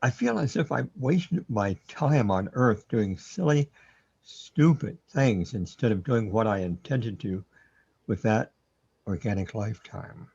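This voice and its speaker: A resigned, bewildered hero stuck in the afterlife, played by a man in a sci-fi radio play. The voice is husky and fairly low, and the sound is slightly muffled. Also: expressive, a little slow, darkish tone, full character voice. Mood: regretful. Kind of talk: scripted sci-fi radio drama.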